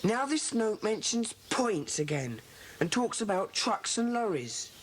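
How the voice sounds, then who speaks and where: A solemn schoolboy in charge of his secret club. He speaks deliberately and with command, in children's audio drama style. The cassette recording has faint noise. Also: mid-range voice, sing-song. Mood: thoughtful. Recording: faintly noisy 1980s cassette.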